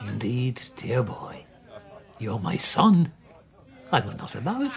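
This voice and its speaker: Roguish gentleman card-sharp uncle, gravelly and animated. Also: mid-range voice, slow pace, heavily acted. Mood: reassuring. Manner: suave, avuncular, theatrical. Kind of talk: radio drama acting.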